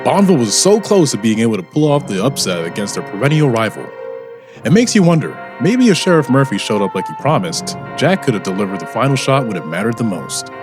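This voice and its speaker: A man's voice: medium pitch, very animated, fast. A man narrating a scripted story outro as the show's narrator, in a teasing, theatrical manner. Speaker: show narrator. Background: music.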